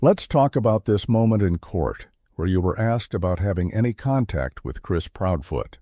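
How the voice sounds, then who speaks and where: Someone doing a deadpan fake reverend host, mellow voice, super dry close sound, calmly needling. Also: deep voice, dry recording. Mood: deadpan, pressing. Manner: deadpan, measured, scripted interrogation. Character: mock reverend interviewer, calm and needling. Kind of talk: scripted satirical interview.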